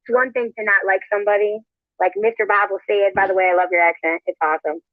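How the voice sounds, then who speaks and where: High-voiced man on a tinny line. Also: steady tone, fast talker, no room sound. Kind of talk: casual livestream chat. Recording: tinny phone-like played stream audio.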